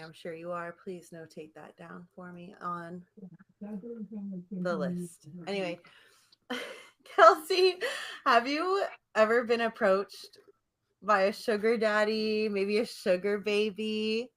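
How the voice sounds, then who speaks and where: Woman podcast host, really bright sharp voice, bantering, playfully indignant. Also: mid-range voice, a little slow, long pauses.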